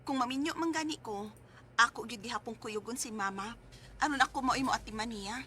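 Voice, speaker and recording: A woman's voice: on the high side, a little fast, pushed and bright, a little hiss or hum. An actress playing a devoted single daughter who cares for her sick mother, in a radio drama. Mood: caring, aggrieved. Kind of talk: radio drama acting with letter narration.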